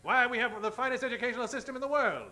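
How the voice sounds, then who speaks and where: A man's voice: very high-pitched, expressive, racing, bright, forceful tone, heavily acted. A man playing a wisecracking, theatrical detective hero in an improvised old-time radio parody. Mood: wry, confident.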